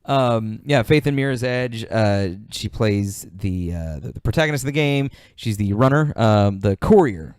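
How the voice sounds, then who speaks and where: A man's voice: fairly low pitch, expressive, moderate pace, few pauses, a little mellow, smooth, no echo, studio quality. A man hosting a podcast in casual, informal panel chat, swearing freely. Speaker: podcast host.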